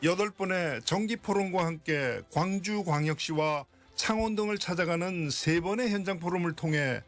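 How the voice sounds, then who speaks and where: Higher-voiced male reporter over music. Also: normal speed. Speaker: citizen reporter. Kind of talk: scripted news report narration. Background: music behind the voice.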